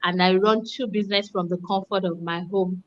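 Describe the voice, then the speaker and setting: A woman's voice: medium pitch, fairly even intonation, a little fast. A cheerful woman from Nigeria introducing herself on a video call, laughing easily and sounding thankful. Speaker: guest mentor. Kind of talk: livestream self-introduction. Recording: slightly dull audio.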